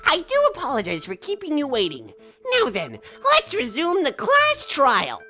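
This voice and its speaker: A woman's voice: high voice, huge pitch swings, moderate pace, pushed and bright, faint music behind, heavily acted. A woman voicing a gleeful, mischievous mascot in an anime game fan dub, theatrical and mock-polite. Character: gleeful mischievous mascot running a deadly trial.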